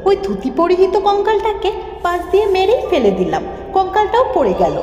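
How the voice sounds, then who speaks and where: A woman's voice: very high voice, very animated, moderate pace, warm tone. A woman voicing a timid, frightened schoolgirl who dramatically tells her ghost story in a cartoon. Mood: anxious, dramatic. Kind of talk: dramatic horror story narration. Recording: echoing, long reverb, muffled lo-fi, music. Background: music.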